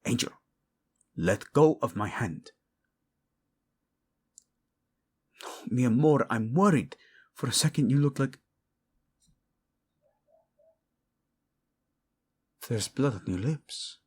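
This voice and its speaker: Man playing a passionate royal lover, widely expressive. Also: medium pitch, normal speed, husky. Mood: worried. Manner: intimate, teasing, commanding, sprinkles Spanish endearments. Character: passionate, protective male royal, devoted lover to his queen. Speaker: voice actor. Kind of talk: romantic audio roleplay drama addressed to the listener.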